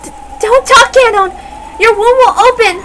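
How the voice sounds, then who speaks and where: A woman's voice: very high voice, normal speed, noisy background, pitched above the performer's own voice. A woman voicing a frantic, pleading older female servant in a dramatic story reading. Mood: panicked, pleading.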